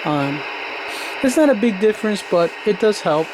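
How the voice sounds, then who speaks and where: A man's voice: high-pitched, animated, moderate pace. A man giving a casual, plain-spoken, step-by-step demonstration of radio gear, like a hobbyist showing how it works. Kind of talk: casual hands-on product demonstration. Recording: noisy recording with radio static. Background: radio static and background noise.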